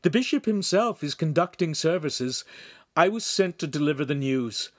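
A man voicing a kindly monk who speaks gently and patiently, a little higher than his narrating voice. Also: animated, moderate pace, no room sound, clean studio sound. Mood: gentle.

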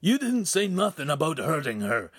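A man voicing a frightened, naive young peasant squire in an audiobook, pleading and breathless with rough, uneducated speech. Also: sits high, fast talker, dry recording, clean studio sound, pitched above the performer's own voice. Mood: pleading protest. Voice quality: strained, breathless.

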